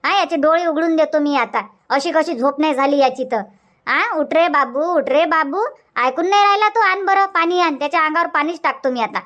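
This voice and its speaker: Woman doing a fussy old granny, scolds and coaxes nonstop, highish voice. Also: a bit bright. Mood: coaxing. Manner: scolding, coaxing, rapid homely chatter. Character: bossy fussing old village grandmother. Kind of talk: cartoon dubbing.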